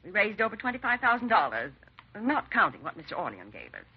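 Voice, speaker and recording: Genteel society lady, very animated intonation. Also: mid-range voice, fast, husky, exaggerated voice. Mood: gracious. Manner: genteel, hesitant, proper. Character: genteel, dignified society charity hostess, naive and trusting. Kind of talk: 1950s radio drama acting. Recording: muffled, noisy 1950s radio recording.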